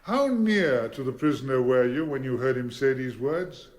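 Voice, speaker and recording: An actor playing a formidable barrister in a courtroom radio drama, probing witnesses in a deliberate, theatrical and insistent way. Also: male voice, mid-range voice, animated, lightly acted. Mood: probing, measured. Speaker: defence barrister.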